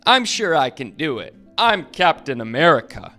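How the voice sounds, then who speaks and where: A confident storybook superhero, as a dad reads him out. The voice stays close to the reader's own, steady and determined, rising with eagerness, over faint guitar music. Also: male voice, on the high side, moderate pace, heavily acted. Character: confident adult male superhero, eager to race.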